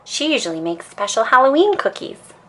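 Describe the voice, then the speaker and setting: A woman's voice: on the high side, very animated, brisk, pitched above the performer's own voice. A woman voicing a curious, opinionated little girl bear cub from a storybook, questioning and emphatic. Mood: decided.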